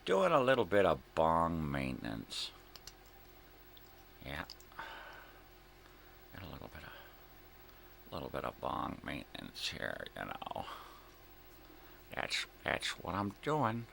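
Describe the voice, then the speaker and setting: A middle-aged man rambles casually as a vlog host, muttering and repeating himself. His voice is low and gravelly, and he takes his time. There is noticeable noise behind him. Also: huge pitch swings, slow pace, long pauses. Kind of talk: rambling casual morning chat. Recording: clear background noise, room ambience.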